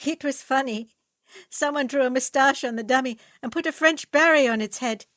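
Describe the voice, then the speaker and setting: A woman voicing a cherub-faced young male student who jokes cheekily and gets excited. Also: high-pitched, moderate pace, no echo, voice pushed up.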